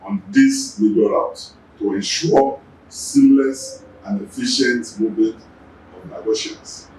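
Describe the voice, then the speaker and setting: A Yoruba-speaking Nigerian man, a traffic agency's general manager, giving measured interview answers and often repeating words as he gathers his thoughts. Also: on the low side, darkish tone, recorded from a distance. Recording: echoey room, voice off-mic.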